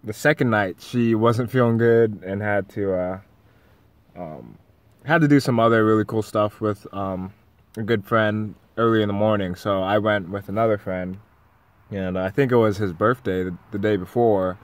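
A man's voice: on the low side, moderate pace, dry recording. A young man vlogging from his parked van, talking casually and rambling, with easy laughs. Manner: relaxed, rambling, laughs between words. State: tired and tipsy late at night. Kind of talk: casual vlog to camera. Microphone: phone camera at arm's length.

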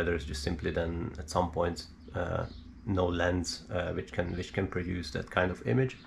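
A man giving an unscripted hands-on technical explanation in a hesitant, halting way, thinking aloud with many pauses. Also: deep voice, gravelly, a little hiss or hum. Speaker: hobbyist presenter showing his equipment. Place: indoor room at a cluttered worktable.

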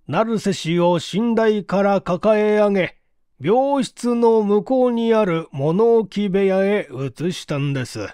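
A woman voicing a composed, courteous male detective chief in an audiobook, explaining in a deliberate, emphatic and formal way. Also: lowish voice, a little mellow, smooth, no echo, clean studio sound. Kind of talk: storybook dialogue in audiobook reading.

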